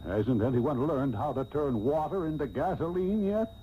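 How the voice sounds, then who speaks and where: A man's voice: a little high, animated, moderate pace, lightly acted. A man playing a cranky, blustery, pompous old auto tycoon in a comic radio soap. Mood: urgent. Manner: irascible, old-fashioned slangy bluster. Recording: dull, noisy old radio tape with hum.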